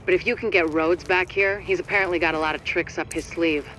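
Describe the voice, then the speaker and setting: A man's voice: high-pitched, fairly even intonation, quick pace, sharp tone, a little hiss or hum, poor audio. A man playing a blunt, plain-spoken agent rallying a base in a video game cutscene. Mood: blunt, urgent. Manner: plain-spoken, matter-of-fact, profane.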